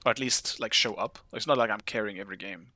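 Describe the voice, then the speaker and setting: Danish man, game streamer, bright forceful tone, casual and curious, dry close sound. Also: fairly low pitch, quick pace, no echo. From Denmark. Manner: chatty, curious, swears casually, talks to chat. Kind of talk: casual live-stream chat while playing.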